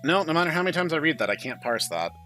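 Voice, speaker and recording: A man's voice: fairly high pitch, sing-song, racing, sharp tone, faint music behind. A man hosting a gaming video, giving casual, wry commentary as he reads along. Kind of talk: casual game commentary and read-aloud.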